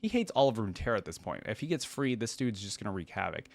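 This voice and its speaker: A man with a fairly low voice hosts a casual reaction video. He reads passages aloud and comments on them informally, thinking out loud as he goes. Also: very animated, fast, creaky, no room sound. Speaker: reaction channel host.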